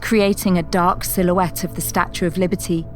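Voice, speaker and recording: A woman's voice: on the low side, fairly even intonation, moderate pace. A woman delivering scripted narration and credits for an audio drama. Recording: loud music under the voice. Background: music.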